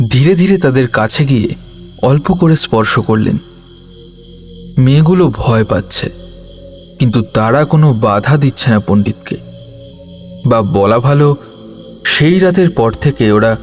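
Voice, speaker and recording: A male narrator with a mid-pitched voice tells a scripted horror tale. His phrasing is dramatic and measured, broken by pauses, with faint music underneath. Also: darkish tone.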